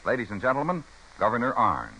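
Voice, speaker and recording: A male radio announcer with an American accent, forceful and lively in pitch with a rough edge. He speaks formally in vintage 1950s broadcast style on an old recording. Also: fast talker, poor audio. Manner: formal, emphatic.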